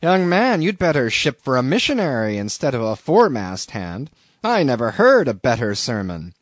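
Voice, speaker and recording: A man voicing a gruff, blustering old whaling captain in a storybook reading, loud, hearty and commanding. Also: mid-range voice, very animated, moderate pace, no echo, studio quality. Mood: hearty excitement.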